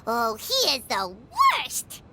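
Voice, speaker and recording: A woman voicing a tiny, childlike, cheeky fairy-like companion in a video game, with a squeaky voice. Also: huge pitch swings, slow pace, very noisy, heavily acted. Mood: indignant.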